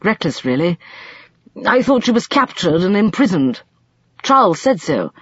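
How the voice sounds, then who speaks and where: A woman's voice: fairly low pitch, big pitch swings, measured pace, breathy edge, dry recording, muffled recording, pitched above the performer's own voice, full character voice. A woman voicing a practical, firm older uncle in an audiobook novel, speaking earnestly and with emphasis. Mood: earnest, moved.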